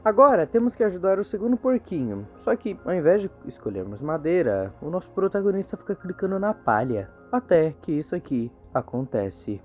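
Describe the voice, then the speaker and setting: A man's voice: high-pitched, big pitch swings, fairly quick, few pauses. A man with a Brazilian Portuguese accent narrating an informal, slangy storytelling recap as a video narrator. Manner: colloquial, slangy. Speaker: video narrator explaining horror series. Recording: muffled phone-like audio, music behind. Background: background music.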